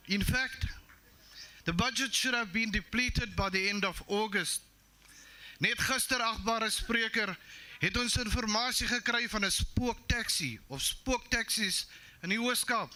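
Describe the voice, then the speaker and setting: A man's voice: high-pitched, bright, forceful tone, rough recording. A South African man whose first language is Afrikaans, making an assertive, defiant debate speech in a legislature chamber. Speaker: member of a legislature.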